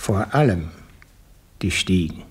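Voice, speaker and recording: A man playing a cynical, weary official in a German radio play, husky and dryly sardonic. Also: sits low, lively intonation, slow, full character voice. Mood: cold solemnity. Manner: dry, sardonic.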